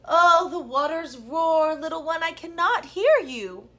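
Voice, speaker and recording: A woman's voice: very high voice, animated, unhurried, a bit bright, voice pushed up, full character voice. A woman doing a haughty, scolding mother. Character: stern, proud mother, impatient with her son.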